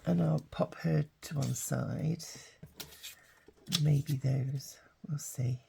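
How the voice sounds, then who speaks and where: An older woman, croaky with hay fever, chatting casually as she works through a craft tutorial. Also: low voice, drawn-out words, slight background noise. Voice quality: gravelly. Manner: chatty, relaxed, thinking aloud. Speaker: craft channel host.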